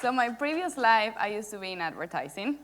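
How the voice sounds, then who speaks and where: A woman's voice: a little high, brisk, a loud crowd, very noisy. A woman, telling her story playfully to an audience. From Venezuela. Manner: animated, playful, engaging the audience. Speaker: startup founder on a panel. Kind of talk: conversational storytelling on stage. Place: indoor event stage before an audience. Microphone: lapel mic.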